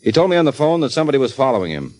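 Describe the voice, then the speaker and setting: A man's voice: medium pitch, very animated, rapid-fire, darkish tone, slight background noise, natural voice. An actor playing a sardonic, cynical male private detective in a radio crime drama, blunt and unruffled. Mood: hard, accusing.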